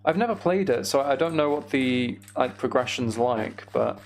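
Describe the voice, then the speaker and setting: A man streaming a game, chatting casually and thinking aloud in an unhurried way as he plays. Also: medium pitch, lively intonation, slight background noise. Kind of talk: casual gaming livestream chat.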